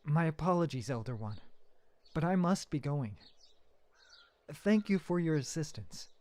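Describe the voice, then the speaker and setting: Man playing earnest young hero, higher voice. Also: lively intonation, measured pace, a little mellow. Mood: polite. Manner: formal, dramatic. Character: earnest, determined young man searching for his stolen love. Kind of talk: fantasy audio drama acting.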